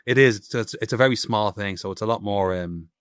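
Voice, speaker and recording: A man in his thirties with an Irish accent rattles along quickly, restarting and hunting for the right word. His voice is on the low side and the recording is dry and close. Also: clear, resonant tone, no room sound. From Ireland. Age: 30s. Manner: rambling, searching for words, many restarts. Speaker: guest stone carver. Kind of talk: casual interview chat. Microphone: remote call.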